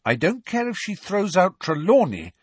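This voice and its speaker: A man's voice: sits high, huge pitch swings, moderate pace, breathy edge, no echo, voice pushed up, heavily acted. A man reading aloud, voicing an earnest, anxious teenage schoolgirl who speaks urgently. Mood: determined.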